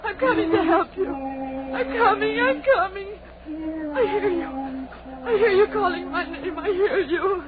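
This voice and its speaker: A very high-voiced, distraught young woman in a vintage radio horror play. She speaks slowly and wails her words theatrically, half out of her mind. The old recording is thin, tinny and noisy. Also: animated. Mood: frantic, tearful. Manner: theatrical, repetitive, wailing delivery. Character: frantic, grief-mad young woman lost in a snowstorm.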